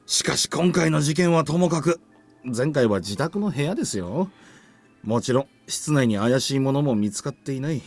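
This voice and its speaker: A man's voice: medium pitch, animated, fast talker, soft background music. An actor playing a deferential, doubtful male police colleague in a Japanese visual novel.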